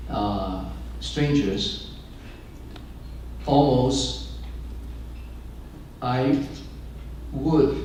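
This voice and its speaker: Older male pastor, Chinese first language, unhurried and halting, many fillers; distant mic in a booming room. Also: darkish tone, very echoey, a little hiss or hum. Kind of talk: speech to an audience. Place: large room with an audience.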